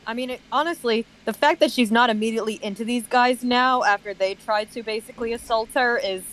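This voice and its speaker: A woman commenting casually on a story with friends, blunt, sardonic and quick to swear. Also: fairly high pitch, a bit bright, low-quality recording. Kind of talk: casual chat mocking a story.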